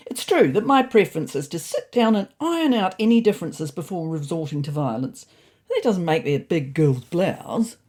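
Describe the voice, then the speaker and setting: A woman's voice: on the low side, very animated, moderate pace, a little mellow. A woman voicing a fussy, neurotic male ginger cat who narrates a comic storybook diary in a prim, indignant, self-important way.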